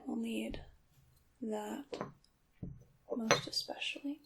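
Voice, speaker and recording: Hushed witch, softly acted by a woman, noisy recording. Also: fairly high pitch, fairly even intonation. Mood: calm, measured. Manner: unhurried, hushed, formal and deliberate. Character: soft-spoken mysterious witch shopkeeper, polite and secretive. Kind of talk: ASMR roleplay, whispered and softly spoken.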